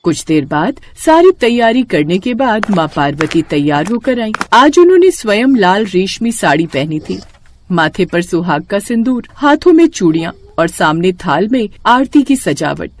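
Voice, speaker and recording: A woman's voice: mid-range voice, very animated, normal speed, a little hiss or hum. Woman narrating a mythological bedtime story.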